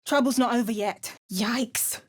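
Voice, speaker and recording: A woman's voice: on the high side, measured pace. Young woman adventurer for a fantasy game, dry wit, wry remarks. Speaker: player character adventurer. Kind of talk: game voice lines.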